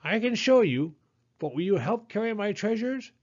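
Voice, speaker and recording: A man's voice: high voice, very animated, moderate pace, lo-fi sound, voice pushed up. A man voicing a fussy, chattering female hen who collects treasures. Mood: chatty.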